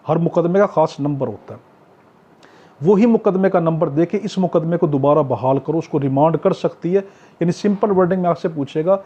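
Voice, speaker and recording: A man's voice: a little high, dark tone, slightly rough. A man with a Pakistani accent lecturing as a law tutor, explaining point by point, posing questions and answering them himself with much repetition. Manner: didactic, poses questions then answers them, repeats key points. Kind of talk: exam-prep lecture, explaining statutes point by point. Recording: dull, lo-fi, muffled sound.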